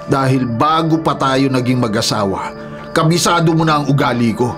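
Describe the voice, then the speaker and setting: An actor with a Filipino accent playing a ruthless, defiant illegal logger and domineering husband in a Tagalog radio drama, menacing and scornful. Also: male voice, medium pitch, moderate pace, close to an everyday voice. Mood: defiant, cold. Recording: dramatic music behind the voice. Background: music.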